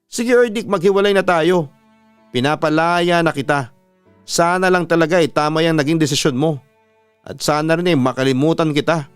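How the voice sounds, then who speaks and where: A man's voice: a little high, normal speed, smooth, dry recording, soft background music, pitched above the performer's own voice, natural voice. A man voicing a heartbroken young woman in a dramatic story, bitter, pleading and accusing. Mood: bitter, resigned.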